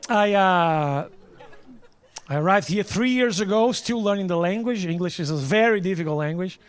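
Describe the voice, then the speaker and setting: A man's voice: sits high, normal speed, clean tone, a faint crowd. A man with a Brazilian accent performing stand-up comedy, emphatic and repeating his lines, swearing freely. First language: Portuguese. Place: live comedy show with audience. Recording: very noisy live stand-up recording. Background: loud noise, audience laughter.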